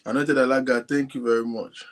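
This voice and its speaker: A man hosting an online talk show, speaking softly and addressing his viewers directly. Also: mid-range voice, level tone, a little fast. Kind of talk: casual talk to a live audience. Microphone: phone linked to a computer.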